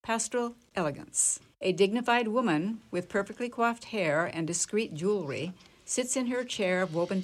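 A woman narrator reading the scene descriptions and stage directions of a radio play. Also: medium pitch.

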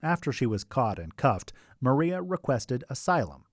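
Narrator: a man, mid-pitched, with conversational documentary delivery, wry asides and a close, dry read. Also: sing-song, moderate pace, dry recording. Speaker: radio reporter and narrator.

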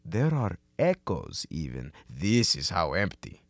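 An actor playing a hapless, soft-hearted Soviet security man, naive and reluctant, with formal, earnest phrasing in a radio comedy. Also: low voice, huge pitch swings, unhurried, vocal fry, no echo, full character voice. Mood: apologetic, gloomy.

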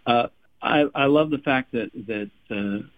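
A man's voice: medium pitch, measured pace. Chatty singer-songwriter in his sixties on a tinny phone line. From Texas. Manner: chatty, laughs often, repeats words when starting. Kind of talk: radio interview, casual storytelling.

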